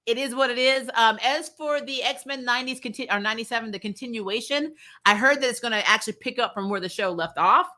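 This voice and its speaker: Bright, forceful woman chatting. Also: on the high side, fast talker, few pauses. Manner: laughs readily, blunt, emphatic, lightly swearing. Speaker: host of a fan review show. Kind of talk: casual chat, answering viewer comments.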